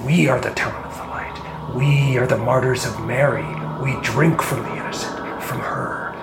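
Guy playing a fervent cult preacher, hushed n slow chanting delivery, echoey w loud music under it. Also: mid-range voice, steady tone, lightly acted. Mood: solemn fervor. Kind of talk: dramatic audio drama sermon.

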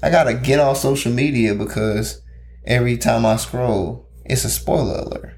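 A man co-hosting a casual chat, talking in an animated, ranting, slang-heavy way. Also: mid-range voice, moderate pace, creaky, a little hiss or hum. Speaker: podcast co-host.

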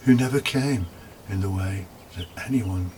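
Low-voiced man preaching, noisy recording. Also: moderate pace, darkish tone. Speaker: deacon preaching. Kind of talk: homily, read from prepared text.

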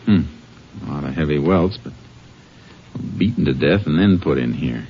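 An actor playing a calm, sharp-eyed Texas Ranger investigator, clipped and probing, in 1950s western radio drama style. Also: male voice, extremely low pitch, normal speed. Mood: methodical, grave. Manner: clipped, probing, matter-of-fact. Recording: dull, noisy vintage radio recording.

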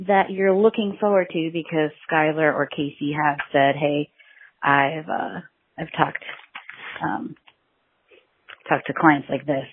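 A woman's voice: lowish voice, husky. A woman hosting a casual interview podcast, teasing and laughing often. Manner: giggly, teasing. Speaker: podcast host. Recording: tinny phone-line sound.

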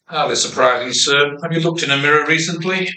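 An actor playing a droll, sardonic ghost sidekick with a dry, mock-deferential manner in an amateur radio play. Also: male voice, fairly high pitch, fairly quick, natural voice. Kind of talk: amateur old-time radio drama acting. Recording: echoey room with faint noise.

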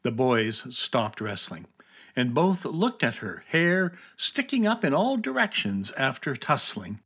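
A man's voice: mid-range voice, sing-song, a little slow, fairly bright tone, breathy edge. A man narrating a scripted children's adventure story.

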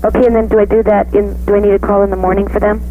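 A man's voice: high voice, little pitch movement, rapid-fire, crowd noise. A man calling a radio station with a polite, casual inquiry. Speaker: caller asking for information. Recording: tinny noisy phone line.

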